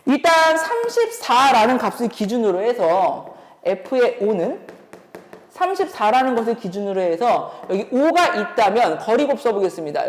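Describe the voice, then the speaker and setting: A woman lectures as an instructor in a high-pitched voice with very lively intonation. She explains step by step, tossing out questions as she works through each point. The recording carries long room reverberation. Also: a little hiss or hum. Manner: explanatory, thinking aloud with rhetorical questions. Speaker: math academy instructor. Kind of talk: exam problem explanation lecture.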